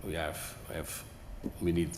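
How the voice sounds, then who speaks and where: Guy, very low voice, slow and halting, echoey big hall. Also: little pitch movement, darkish tone. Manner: halting, many fillers and restarts. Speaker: conference speaker. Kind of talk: hesitant presentation talk. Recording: echoey hall, faint room noise.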